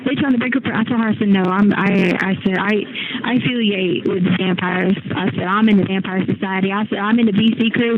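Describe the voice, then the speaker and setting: A woman's voice: mid-range voice, background noise. A woman in a casual, agitated phone chat, rambling with slang and cursing over a jail phone line. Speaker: jail inmate on a phone call. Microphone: telephone handset.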